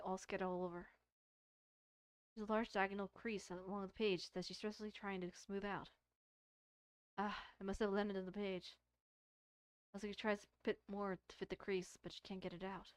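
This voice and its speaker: A woman's voice: mid-range voice, level tone, brisk, clean tone, no room sound. A woman streamer reading a visual novel aloud expressively, mixing dramatic narration with casual commentary and stumbling over words.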